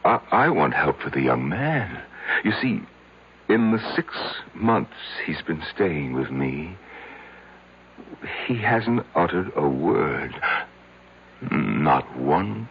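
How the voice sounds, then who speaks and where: An actor playing a meek, anxious patient confiding in his psychiatrist in an old radio drama, with hesitant, stammered pauses. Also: extremely low pitch, sing-song, slight background noise, heavily acted. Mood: anxious, pleading. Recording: dull vintage radio broadcast recording.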